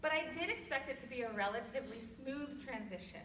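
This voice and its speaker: A young woman from California with a loud voice, giving a personal talk to an audience in a church hall without a microphone. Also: high voice, lively intonation, fast talker, a little hiss or hum. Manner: loud, laughing, self-deprecating. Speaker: guest speaker. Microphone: no microphone, far from recorder. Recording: echoey hall from far off.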